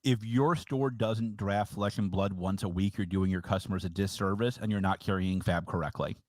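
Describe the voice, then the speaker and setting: A man with a lower voice co-hosting a casual podcast, emphatic and opinionated, teasing as he goes. The sound is close and dry. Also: steady tone, normal speed, darkish tone, dry recording. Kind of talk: casual chat about a card game.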